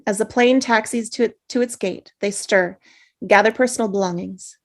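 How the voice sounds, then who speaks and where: Woman reading out stage directions, mid-pitched, steady pace, on a video call. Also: normal speed. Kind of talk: table read narration.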